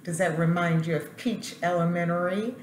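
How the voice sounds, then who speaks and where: A woman with a low voice reads to children as a school principal. She takes her time and tells the story expressively, stopping for asides. The room echoes, with faint noise behind her. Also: steady tone, normal speed. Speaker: elementary school principal reading aloud to students. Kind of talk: children's picture book read-aloud with asides. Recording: roomy echo, faint background noise.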